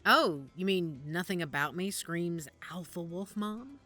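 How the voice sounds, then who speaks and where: A woman playing a composed werewolf matriarch, lively intonation. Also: fairly low pitch, measured pace, faint music behind, full character voice. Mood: wry, composed. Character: composed, guarded female werewolf pack matriarch. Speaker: actor in audio drama. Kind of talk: naturalistic audio drama dialogue.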